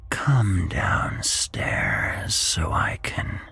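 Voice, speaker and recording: A man voicing a sinister spirit posing as a genie, in a slow, rasping, coaxing whisper for a horror story. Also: bright, forceful tone, no echo.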